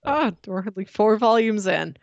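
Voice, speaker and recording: A woman co-hosting a casual book discussion, speaking in a measured, plain-spoken way. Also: medium pitch, animated, gravelly, no echo, highs cut off. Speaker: podcast co-host.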